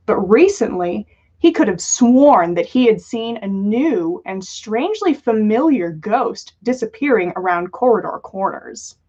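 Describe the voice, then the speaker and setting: A woman's voice: mid-range voice, warm tone. A woman reading a story aloud as a livestream host, with lo-fi sound. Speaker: podcast host reading fan fiction aloud. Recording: dull lo-fi livestream audio.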